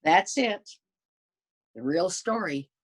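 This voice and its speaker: A woman's voice: fairly low pitch, lively intonation, slow, slightly rough, pitched above the performer's own voice, full character voice. A woman reading as a wily male wolf who pleads his innocence in folksy, self-justifying storybook narration.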